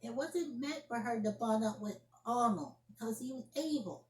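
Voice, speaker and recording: A woman's voice: on the high side, moderate pace, darkish tone, lightly acted. A woman playing an indignant young woman scolding someone over the phone in an amateur solo drama, emphatic and repeating her phrases. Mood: fed up. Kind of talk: amateur solo acted monologue, one side of a phone argument. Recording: roomy echoing home recording.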